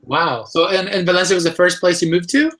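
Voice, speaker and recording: A man's voice: high voice, a little fast, fairly bright tone. A man hosting an interview show, asking questions and reacting with eager interjections. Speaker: host. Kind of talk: interview host.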